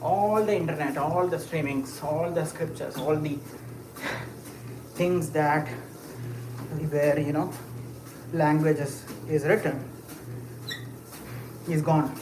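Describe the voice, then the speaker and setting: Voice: mid-range voice, slow pace, pauses often. Rambling livestreamer in an echoey space. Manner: rambling, musing aloud with frequent fillers and short laughs; talks while walking. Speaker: livestreamer answering chat. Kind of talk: casual live chat. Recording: echoey space with clear ambient noise.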